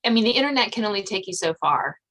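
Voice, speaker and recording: A woman's voice: mid-range voice, animated, fast talker, fairly bright tone. A woman hosting a podcast in a casual interview style, informal and often rephrasing her thoughts mid-sentence. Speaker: podcast host.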